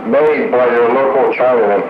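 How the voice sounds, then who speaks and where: A man's voice: mid-range voice, normal speed, narrow, tinny audio. Man on echoey, noisy radio. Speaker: amateur two-way radio operator. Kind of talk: casual radio banter. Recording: roomy, noisy two-way radio audio.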